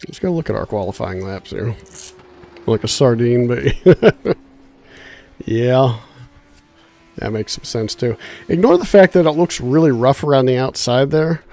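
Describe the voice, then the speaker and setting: A man's voice: mid-range voice, lively intonation, unhurried, long pauses, dark tone, faint music behind. A man chatting casually on a gaming livestream, with a congested, nasal sound and a laid-back, rambling way of talking. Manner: chatty, laid-back, thinks aloud with fillers. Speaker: streamer.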